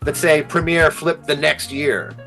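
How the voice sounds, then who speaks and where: A man's voice: on the high side, fairly even intonation, a little slow, fairly bright tone, soft background music. A man, chatting casually and slangily as an interview guest, often saying "like". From Halifax, Canada. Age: 40s. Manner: slangy, frequent 'like' and 'you know what I mean'. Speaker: guest, hip hop producer and DJ.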